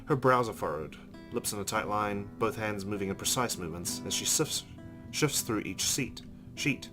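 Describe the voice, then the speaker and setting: A man with a lowish voice hosts a let's play. He reads the game's narration aloud and drops in casual asides, with faint music underneath. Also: expressive, normal speed.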